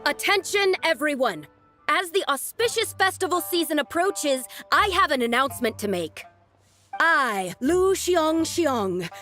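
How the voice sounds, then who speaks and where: Game braggart: high, animated young woman, declamatory, pompous, quick to take offence. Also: full character voice. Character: boastful, hot-tempered young woman, self-proclaimed famous archaeologist. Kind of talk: video game cutscene acting.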